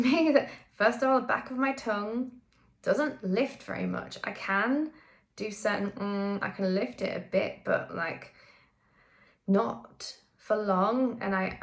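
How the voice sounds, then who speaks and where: A woman from the UK talks through her own experience in a casual personal vlog, candid and chatty. She is a singer and vocal coach, and her middle-range voice carries a gravelly touch of vocal fry. She often stops to say um and correct herself mid-sentence. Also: medium pitch. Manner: chatty, candid, frequent ums and self-corrections.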